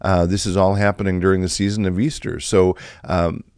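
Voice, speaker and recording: A man in middle age with a low, slightly raspy voice talks to his listeners like a pastor in a podcast, circling back with "um" and restarts. The recording is dry and close, with no room around him. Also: little pitch movement, a little fast, darkish tone, no room sound. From Chicago area, USA. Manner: conversational, many fillers and restarts. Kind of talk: reflective podcast talk.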